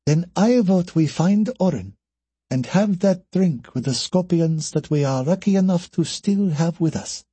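A man voicing a playful, self-assured female scientist with a light, soft manner in an audiobook. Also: high voice, animated, measured pace, husky, no echo, pitched above the performer's own voice, exaggerated voice. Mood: friendly.

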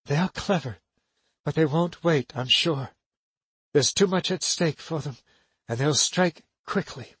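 A man voicing a young woman in bed who speaks softly but urgently, giving firm instructions. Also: high voice, normal speed, no echo, voice pushed up. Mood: hushed, urgent. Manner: soft, hushed, then sharp and urgent. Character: young woman, resolute, secretive, ill in bed.

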